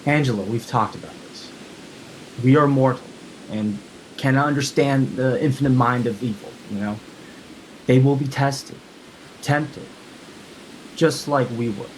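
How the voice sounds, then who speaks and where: A man playing a calm, devout father and husband, reassuring and resolute, in a film script table read. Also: medium pitch, unhurried, pauses often, slight background noise, close to an everyday voice, low-quality recording. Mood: solemn reassurance.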